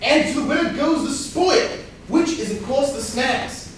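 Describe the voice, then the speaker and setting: A woman playing a formal, deliberate butler who presides over a murder game in a staged comedy reading. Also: high voice, expressive. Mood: formal, stately. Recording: very echoey room, distant mic, faint noise.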